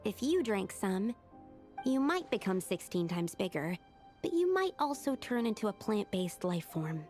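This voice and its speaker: A woman's voice: mid-range voice, very animated, measured pace, darkish tone. A woman playing an earnest, studious young female alchemist in a video game, explaining things carefully and analytically. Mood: thoughtful, careful. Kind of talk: video game character dialogue. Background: game music.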